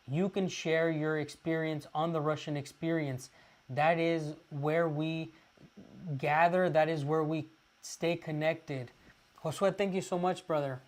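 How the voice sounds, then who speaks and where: A man from Los Angeles, chatting casually to viewers on a livestream and pausing often with fillers. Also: a little high. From Los Angeles, California. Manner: halting, restarts often with many "uh" fillers. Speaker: livestream host.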